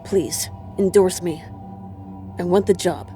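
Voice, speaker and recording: A woman's voice: fairly low pitch, steady tone, slow pace, dark tone. A woman playing an eager female apprentice, earnest and pleading, in an audio drama. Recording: music behind the voice.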